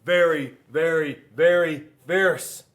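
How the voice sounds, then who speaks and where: A man reading a children's storybook aloud in an animated style, with dramatic emphasis and drawn-out words. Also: fairly high pitch. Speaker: police school resource officer reading to children.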